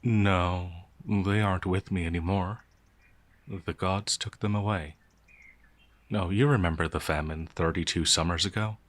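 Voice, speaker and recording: A man's voice: deep voice, steady tone, unhurried, fairly bright tone, dry recording. A man playing a timid, innocent werewolf farmhand in an audio roleplay, speaking in a hesitant, stammering, naively earnest way. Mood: wistful. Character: timid, gentle, innocent male werewolf farmhand, older than he appears. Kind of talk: audio roleplay character acting.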